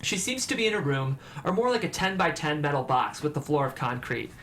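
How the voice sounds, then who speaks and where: Higher-pitched man narrating a script. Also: big pitch swings, a little fast, a bit bright. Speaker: stage-direction narrator. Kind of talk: scripted narration at a table read. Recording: clear background noise.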